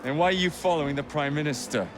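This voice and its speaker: An actor playing a cocky young assassin, wry and rough-and-ready in a game cutscene. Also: male voice, a little high, quick pace, background noise, close to an everyday voice. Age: young adult. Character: cocky young male assassin posing as bodyguard.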